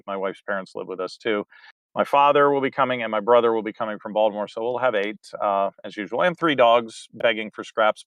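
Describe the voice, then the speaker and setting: A man's voice: fairly low pitch, fairly bright tone, smooth, no echo, rough recording. A man, middle-aged, chatting casually as an interview guest in an easygoing, dryly joking way. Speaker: interview guest, local theatre director. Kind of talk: casual chat.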